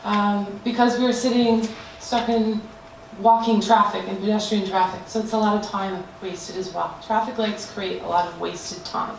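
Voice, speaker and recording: A woman's voice: medium pitch, steady tone, normal speed, vocal fry, few pauses. Woman presenting in an echoey room. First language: not Danish. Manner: hesitant, many fillers and restarts. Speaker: student presenter. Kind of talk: group design presentation. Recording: echoey room, mic far off, faint noise.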